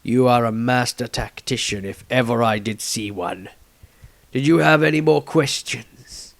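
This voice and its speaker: Storybook elder wizard, voiced by a male narrator: mid-pitched, deliberate, formal, sympathetic authority. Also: vocal fry, dry recording. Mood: approving. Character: old male wizard patriarch, stately, measured, sympathetic.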